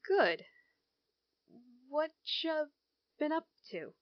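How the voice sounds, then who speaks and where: A woman playing a bored small-town teenage girl, voicing her thoughts in a slow, inward way. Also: high voice, very animated, lo-fi sound, slight background noise, heavily acted. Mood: wistful.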